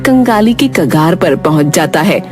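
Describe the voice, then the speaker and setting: Low-pitched woman narrating over music. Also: animated, moderate pace. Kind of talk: scripted children's story narration.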